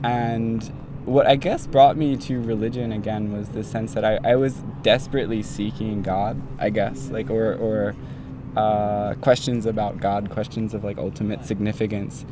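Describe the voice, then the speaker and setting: A man's voice: on the low side, fairly even intonation, moderate pace, background noise. A young man in his twenties, a doctoral student, telling his story in a casual, rambling way full of fillers like "like" and "um". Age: 20s.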